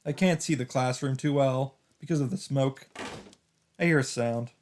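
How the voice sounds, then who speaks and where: A man's voice: medium pitch, moderate pace, darkish tone. A man hosting a let's play, reading a visual novel's first-person narration aloud with occasional asides of his own. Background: game music.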